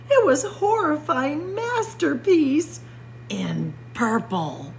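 Librarian, woman, slow and theatrical read-aloud, wide-ranging lowish voice, faint hum behind. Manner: dramatic, expressive storytelling with spooky whispers. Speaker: children's librarian. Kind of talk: picture book read-aloud. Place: library with bookshelves.

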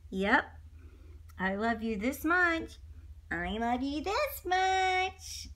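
Woman as a gentle, slow-talking father hare. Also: high voice, sing-song, voice pushed up, full character voice. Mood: fond. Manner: slow, fond, reassuring.

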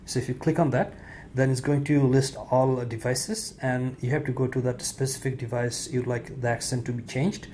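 Guy doing a tech how-to, relaxed, plenty of uhs, mid pitch, bit of faint room noise. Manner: relaxed, halting with frequent "uh" fillers. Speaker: tech video host. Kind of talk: casual spoken tutorial.

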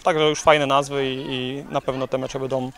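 A man in his thirties, a youth football coach, giving a post-match interview outdoors by the pitch, thoughtful and full of hedges and restarts. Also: flat delivery, moderate pace, fairly bright tone. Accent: Polish.

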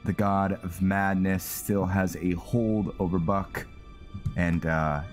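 A man's voice: low voice, fairly even intonation, slow, soft background music. A man hosting a gaming video, giving casual roleplay commentary and thinking aloud.

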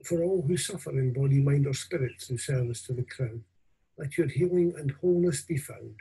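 An older man, a minister, reading formal prayers solemnly, reverently and deliberately. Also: mid-range voice, vocal fry, dark tone, husky, low-quality recording. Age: 60s or older. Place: small room at home. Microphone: video call or webcam at desk distance.

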